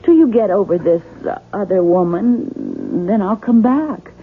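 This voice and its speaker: An actress playing a hurt, doubting young wife in a radio mystery drama, plaintive and resigned. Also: female voice, medium pitch, animated, a little slow. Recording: tinny, noisy 1970s radio broadcast.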